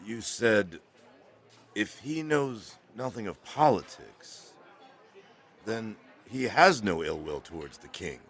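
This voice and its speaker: A tipsy, wavering plotter in a period radio play. His voice is creaky and forceful, and he fumbles slowly through words as his conscience nags at him. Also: lowish voice, animated, heavily acted. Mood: troubled, wavering. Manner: slurred, hesitant, stumbling over words. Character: tipsy, uneasy man wavering over a plot, conscience-stricken. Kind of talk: dramatic audio fiction acting.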